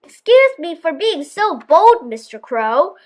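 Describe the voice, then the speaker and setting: A woman voicing a sly male fox for a children's storybook, with sugary, theatrical flattery. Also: very high voice, takes it slowly, heavily acted. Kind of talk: children's storybook read-aloud.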